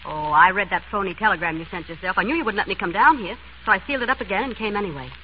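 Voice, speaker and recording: Woman playing a fast, breezy radio sleuth, muffled. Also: mid-range voice, natural voice. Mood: teasing. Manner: brisk, teasing, bantering. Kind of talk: old-time radio drama acting. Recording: muffled, noisy vintage radio recording.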